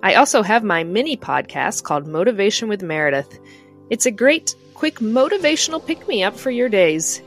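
A woman's voice: mid-range voice, big pitch swings, moderate pace. A woman reading a scripted promo as a podcast host. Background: music.

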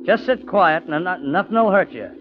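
An actor playing a terse, coolly insistent, menacing driver in a 1940s radio thriller. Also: male voice, high-pitched, expressive, moderate pace. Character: smooth, menacing male driver abducting a detective. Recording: noisy, muffled 1940s radio recording.

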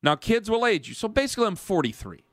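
A man's voice: high-pitched, big pitch swings, quick pace, a bit bright, no room sound. A man in his thirties hosting a radio sports talk show, rambling in casual banter with self-mocking asides. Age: 30s. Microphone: studio mic.

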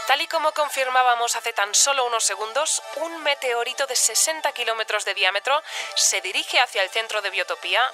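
An actress as a formal female newsreader with a fairly high voice. She reads an emergency bulletin in scripted audio drama style, over prominent music. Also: brisk, close to an everyday voice. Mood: grave, formal. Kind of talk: formal news reading.

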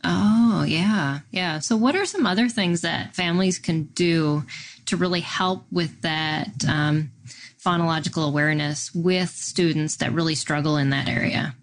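Low-voiced woman podcast host. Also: unhurried. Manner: frequent short backchannel murmurs. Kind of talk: podcast interview.

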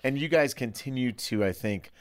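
A man's voice: medium pitch, moderate pace, no echo. A man hosting a casual, conversational podcast interview. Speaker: podcast host.

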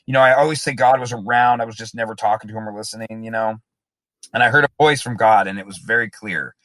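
A man's voice: lowish voice, fast talker, fairly bright tone, dry recording. A man as an interview guest, telling his own story in a candid, reflective, plain-spoken way. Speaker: guest in recovery.

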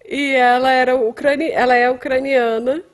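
A woman's voice: high voice, steady tone, moderate pace. Woman guest telling a personal story. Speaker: podcast guest. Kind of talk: casual podcast chat.

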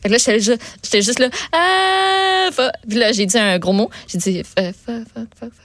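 A woman's voice: on the high side, expressive, normal speed, fairly bright tone, dry recording. A woman with a Québécois accent co-hosting a radio show, telling stories in a casual, colloquial, self-deprecating way. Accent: Québécois French. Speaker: radio co-host.

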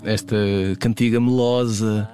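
A man presenting songs in casual radio chat, pausing often with fillers and restarts. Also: medium pitch, level tone, dark tone. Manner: hesitant, many fillers and restarts.

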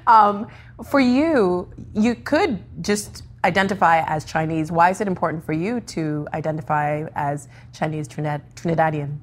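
A woman hosting a broadcast interview, delivering scripted introductions in a measured way. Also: low voice. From Africa. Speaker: host.

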